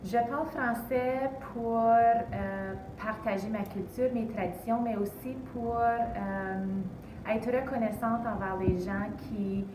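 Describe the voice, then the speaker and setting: Interviewee, middle-aged woman, Canadian French accent, slow and hesitant, distant echoey recording. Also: fairly high pitch, fairly even intonation. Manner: earnest, hesitant with many fillers. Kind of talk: vox-pop testimony. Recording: distant, roomy, noisy recording.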